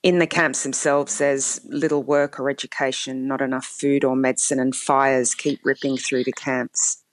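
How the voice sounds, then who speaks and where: Measured female law professor, dry sound. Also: very deep voice, little pitch movement, dry recording. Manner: measured, explanatory, with occasional fillers. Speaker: law professor, guest expert. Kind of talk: expert commentary in an interview.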